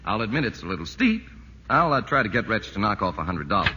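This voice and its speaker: An actor playing a fussy, hesitant architect who explains costly problems apologetically, in 1940s radio comedy style. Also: male voice, fairly low pitch, big pitch swings, fast, noisy background. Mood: sheepish. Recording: echoey old radio broadcast.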